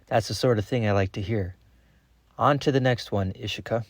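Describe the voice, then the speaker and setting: An actor playing a pragmatic, dismissive government boss in naturalistic meeting dialogue, glibly brushing off objections. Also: male voice, on the low side, normal speed, dry recording, natural voice. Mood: glib, impatient.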